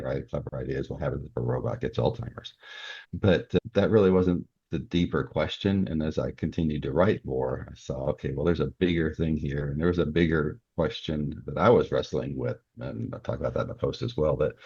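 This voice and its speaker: An older man with an extremely low voice that has a husky edge. He speaks as an interview guest, explaining things in a conversational way. The audio is somewhat dull and lo-fi. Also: a little mellow. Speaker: podcast guest, novelist. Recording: dull, band-limited audio.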